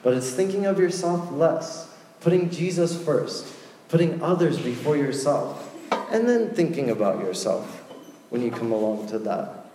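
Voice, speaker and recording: Casual young youth pastor in echoey hall. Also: male voice, a little high, measured pace. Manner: casual, joking, lively asides. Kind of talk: sermon to a youth group. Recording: echoey hall with faint ambience.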